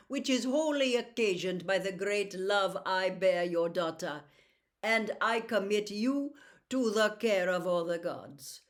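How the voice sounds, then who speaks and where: A woman's voice: medium pitch, natural voice. A woman voicing a proud, cool young noble girl in a storybook, speaking in a formal, lofty way.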